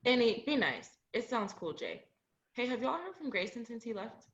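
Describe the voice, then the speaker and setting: A young woman acting in a student play as a caring, supportive best friend, gentle in manner. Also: fairly high pitch, sing-song, moderate pace. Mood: calm, protective. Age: young adult. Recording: dull lo-fi audio.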